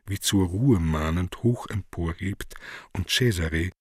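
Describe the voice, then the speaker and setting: A man's voice: sits low, slow pace, a little mellow, slightly rough, dry recording, studio quality. A man narrating the stage directions of a radio play from a script.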